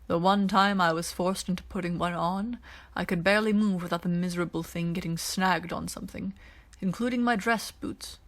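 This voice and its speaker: A woman's voice: lowish voice, steady tone, normal speed, lightly acted. A woman voicing a wry male alien former military officer in an audiobook, with an understated, murmuring delivery.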